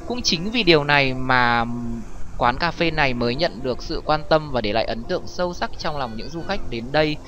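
A man's voice: on the high side, animated, a little fast, a bit bright, a little hiss or hum. A man reading a scripted travel narration as a vlog host, with occasional hesitations. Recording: music over the voice. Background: prominent background music.

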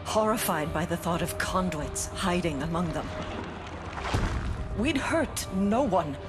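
An actress playing a cold, calculating middle-aged military agency chief in a video game, speaking in a controlled, menacing, deliberate way. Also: female voice, lowish voice, lively intonation. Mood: grave narrating. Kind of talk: video game cutscene acting. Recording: dramatic music under the voice.

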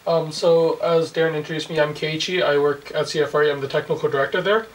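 Young guy talking fast and pretty monotone in a casual interview, mic a bit far. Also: fairly high pitch. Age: 20s. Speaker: college radio technical director, guest. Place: furnished indoor music room studio. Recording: recorded at a distance from mic.

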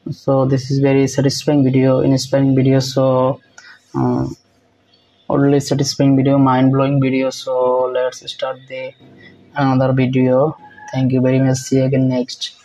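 A young man hosting a reaction video in halting, repetitive English with many fillers, filmed close on a phone in a small room. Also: medium pitch, completely flat delivery, mellow, a little hiss or hum, poor audio. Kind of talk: casual reaction commentary.

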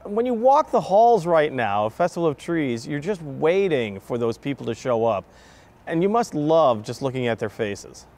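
A man's voice: fairly high pitch, very animated, moderate pace, darkish tone. A middle-aged man with an American accent hosting a broadcast interview, putting questions to a guest. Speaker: TV host and interviewer. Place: large exhibition hall, indoors.

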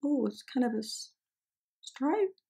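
A young Dutch woman talks through her makeup routine in a loose, self-mocking way, her voice often slipping into a gravelly fry. She sits close to the camera in a small indoor studio. She is speaking English, which is not her first language. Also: high voice, expressive, a little slow, mellow. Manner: chatty, self-deprecating, talks while applying makeup. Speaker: beauty vlogger. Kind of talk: casual makeup tutorial chat.

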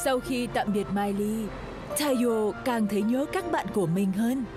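High-voiced woman storyteller acted by a woman. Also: measured pace, music in the background, close to an everyday voice. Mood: narrating. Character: storytelling female narrator of a children's cartoon. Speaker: narrator. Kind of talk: scripted children's story narration.

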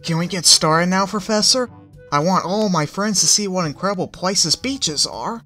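Guy doing an eager young pony boy, a bit higher and louder, always in a rush. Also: faint music behind. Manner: eager, hurried. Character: eager upbeat young male earth pony.

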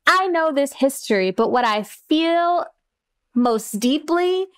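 A woman speaking as a playwright guest in a reflective discussion, with deliberate, thoughtful phrasing and pauses. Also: sits high, expressive, a bit bright.

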